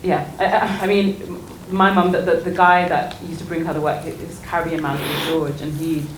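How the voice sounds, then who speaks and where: A woman speaking on a panel in an informal discussion, haltingly, repeating words as she thinks. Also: on the low side, level tone. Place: indoor public exhibition discussion. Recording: roomy live event, background noise.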